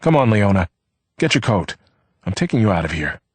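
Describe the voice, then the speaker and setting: A man's voice: fairly low pitch, sing-song, a little fast, slightly rough, dry recording, voice pushed up. A man doing an exasperated, coaxing young man. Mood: urgent. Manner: urgent, coaxing. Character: exasperated young man trying to keep calm.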